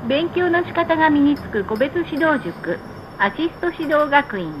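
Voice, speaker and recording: A woman reading scripted stop announcements on a bus in a measured, formal, polite manner. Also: high-pitched, very animated. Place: played over speakers inside a moving bus. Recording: muffled, noisy recording inside a bus. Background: bus engine and road noise.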